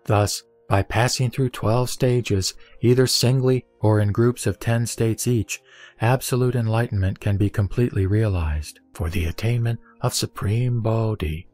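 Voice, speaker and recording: A man reads Buddhist scripture as a scripted recitation, in measured, deliberate short phrases. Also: lowish voice, a little mellow, dry recording. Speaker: sutra reciter. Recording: music bed behind voice. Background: background music.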